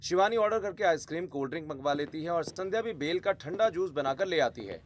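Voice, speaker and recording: A man's voice: fairly high pitch, animated, fast, a little hiss or hum. A man giving scripted narration of a family story as the narrator.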